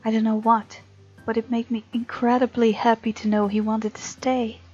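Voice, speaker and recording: A woman's voice: on the high side, level tone, normal speed, darkish tone, breathy edge. Muffled woman reading a game over music. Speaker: gamer playing and reading a visual novel. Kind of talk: reading game narration aloud with casual commentary.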